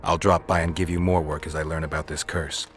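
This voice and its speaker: Low-voiced, terse monster hunter. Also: male voice, a little fast, soft background music. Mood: dry, commanding. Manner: terse, flat, unbothered. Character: gruff, laconic, world-weary male monster-hunting witcher. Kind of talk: video game dialogue acting.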